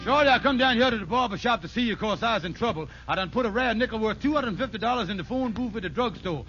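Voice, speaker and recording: A man's voice: sits high, lively intonation, quick pace, over music. An actor playing a fretful, scheming man in a comic fix in an old-time radio sitcom. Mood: worried. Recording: dull, noisy vintage radio recording.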